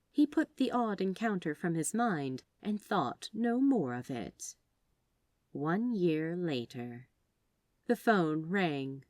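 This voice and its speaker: A woman reading a story aloud as narrator, in an expressive scripted style. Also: on the low side, no room sound, studio quality. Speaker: fan fiction narrator.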